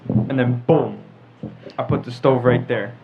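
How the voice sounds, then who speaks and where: A man's voice: medium pitch, unhurried, slight background noise. A young American man leading a casual group discussion as a podcast host. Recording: muffled audio with group chatter behind.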